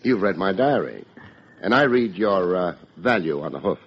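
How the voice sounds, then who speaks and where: A low-voiced, husky private detective from an old radio mystery, wry and world-weary. He tosses off deadpan wisecracks with swinging pitch, on a dull vintage recording. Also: normal speed, full character voice. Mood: wry, bantering. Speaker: narrator and lead detective. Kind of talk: old-time radio detective drama acting.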